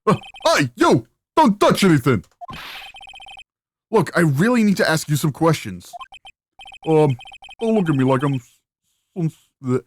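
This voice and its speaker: A gruff, folksy male police detective from a video game, acted out by a woman. He talks bluntly and bossily, in a brusque, blustery way with lively intonation. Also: deep voice, a little slow, long pauses, faint music behind. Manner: blunt, calls listener "pal". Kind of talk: video game character voicing.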